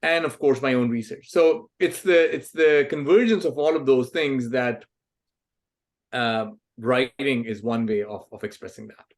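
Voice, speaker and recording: A man's voice: mid-range voice, measured pace. Thoughtful man from Pakistan, 40s. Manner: thoughtful, many fillers and restarts. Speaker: author and scientist, guest. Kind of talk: conversational interview answers.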